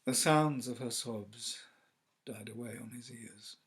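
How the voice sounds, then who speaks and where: A man reading a classic story aloud as an actor, measured and theatrical, letting phrases fall to a hush. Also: mid-range voice, breathy edge. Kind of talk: dramatic literary narration.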